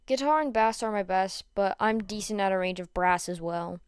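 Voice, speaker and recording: A low-key teenage girl musician, played by a woman narrator in a fan audiobook. She speaks matter-of-factly in a clean, dry-sounding recording. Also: smooth, no room sound, close to an everyday voice. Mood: casual. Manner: slow, matter-of-fact.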